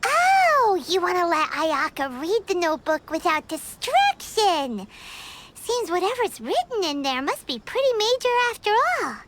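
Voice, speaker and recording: A woman voicing a tiny, excitable fairy companion who refers to herself in the third person, in video game dialogue. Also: very high voice, unhurried, heavy background noise, exaggerated voice. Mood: excited. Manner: speaks of herself by name, bouncy and curious. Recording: game audio with loud music behind.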